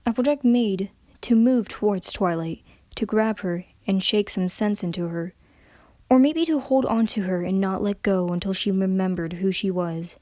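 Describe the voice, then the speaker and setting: Woman reading a story dramatically, mellow mid voice, dry recording. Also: mid-range voice, moderate pace, no room sound. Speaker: narrator.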